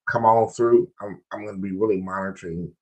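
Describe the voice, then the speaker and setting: A man with a very low, hoarse and raspy voice hosts a live stream. He kicks off with loud, stretched-out hype, then drifts into relaxed, rambling talk to his viewers. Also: a little fast, rough recording. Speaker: podcast host.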